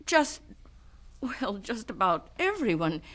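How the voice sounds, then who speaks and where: A guarded new widow. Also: female voice, on the high side, very animated, slow, a bit bright, heavily acted. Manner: hesitant, guarded answers. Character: composed widowed wife, guarded and cool. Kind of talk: 1970s radio drama acting.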